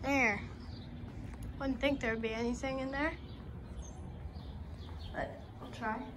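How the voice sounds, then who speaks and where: High-voiced woman with offhand commentary. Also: fairly even intonation, slow pace. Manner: offhand, dry running commentary. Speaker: urban explorer vlogger. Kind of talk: casual walkthrough narration. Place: outdoors around an abandoned restaurant building. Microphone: handheld phone. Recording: outdoor phone audio with clear background noise.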